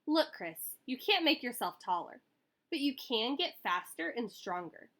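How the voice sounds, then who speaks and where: A kindly storybook grandfather, read by a woman, encouraging and upbeat as he advises. The pitch is high rather than aged, with bright, lively swings. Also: measured pace, heavily acted.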